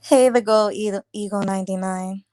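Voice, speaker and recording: A woman chats loosely on a morning livestream, greeting viewers and riffing on their comments. Her voice is a touch raspy from the early hour, and it sits close and dry with no room around it. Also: mid-range voice, steady tone, normal speed, dark tone, dry recording. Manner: chatty, rambling, teasing viewers. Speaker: livestream host. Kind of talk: casual live chat reading comments.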